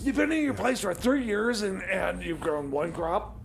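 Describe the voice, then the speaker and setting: Male radio comedy sidekick, halting, repetitive delivery, unusually high voice with gravelly fry. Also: very animated. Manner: halting, stammering, repeats words. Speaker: co-host and comic sidekick. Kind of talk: casual comedy radio banter.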